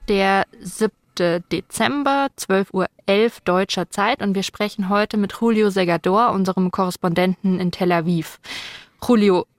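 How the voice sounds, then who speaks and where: A woman's voice: medium pitch, no echo. Woman hosting a podcast over music. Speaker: podcast host. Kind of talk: conversational news podcast hosting. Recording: music under the voice. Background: background music.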